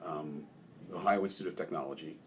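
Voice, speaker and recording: An older man from Maryland, a ward councilman, telling relaxed stories in a casual interview and chuckling often. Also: rumbling low, fast, slight background noise.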